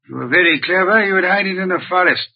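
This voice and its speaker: An actor playing a pompous, deliberate, eccentric genius detective in an old radio drama, with formal, precise diction. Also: male voice, high voice, gravelly, slightly rough, exaggerated voice. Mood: measured, expository. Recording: muffled vintage radio recording.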